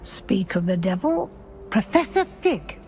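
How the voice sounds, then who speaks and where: An actress playing a brisk, exacting witch professor in a video game, with a British accent and a crisp, formal schoolmistress manner. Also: mid-range voice, big pitch swings, darkish tone, a little hiss or hum. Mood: probing. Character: brisk, exacting female witch professor guiding a new student. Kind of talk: video game character dialogue.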